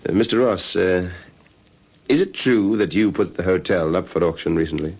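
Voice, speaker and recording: An actor playing a dogged, suspicious police sergeant in an old radio detective drama, measured and politely insistent. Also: male voice, deep voice, a little mellow, slightly rough. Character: dogged, calmly suspicious male police sergeant questioning a suspect. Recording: slightly dull vintage radio recording.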